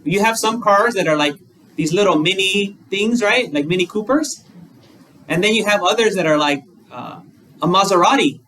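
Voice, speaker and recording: A man's voice: high voice, moderate pace, sharp tone. Distant male monk giving a dharma talk. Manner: conversational, plain examples, asks rhetorical questions. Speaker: Buddhist monk. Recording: distant mic with faint room noise.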